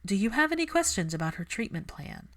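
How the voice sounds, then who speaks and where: A woman's voice: medium pitch, big pitch swings, fairly quick, voice pushed up. Young woman healer acted by a woman reader, principled, stubborn, earnest, precise, firm audiobook dialogue.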